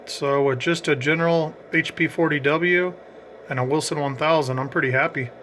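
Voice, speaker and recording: A man's voice: a little high, steady tone, moderate pace, a bit bright, slight background noise. A man giving casual, explanatory commentary as he narrates a radio range test.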